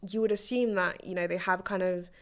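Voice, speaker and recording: A woman's voice: on the low side, brisk. A twenty-one-year-old woman, a guest chatting casually on a podcast, easygoing and sometimes searching for words. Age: 20s. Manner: relaxed, agreeable, searches for words. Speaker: podcast guest, tech apprentice.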